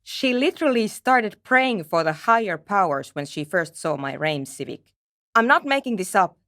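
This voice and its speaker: Lively, dry-witted woman programmer. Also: medium pitch, normal speed, dry recording. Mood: irritated, sardonic. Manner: dry, matter-of-fact, sardonic. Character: brusque, nerdy young woman programmer researching a radio anomaly. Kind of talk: video game character dialogue.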